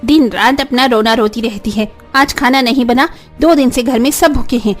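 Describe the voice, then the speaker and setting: A woman puts on a stingy neighbour woman from a cartoon tale. She grumbles harshly and threatens in a fairly high voice, over music. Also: normal speed, lightly acted. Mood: scornful.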